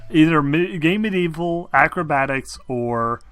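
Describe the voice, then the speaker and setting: Bright-voiced man running a game, music behind. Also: a little high, expressive, measured pace. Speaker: game master. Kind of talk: casual narrating of a tabletop monster game.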